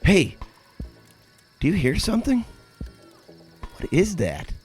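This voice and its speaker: An actor playing an easygoing, skeptical DJ buddy with a dry, questioning manner in casual audio drama banter. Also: male voice, high voice, huge pitch swings, slow pace, a little mellow, faint music behind, heavily acted.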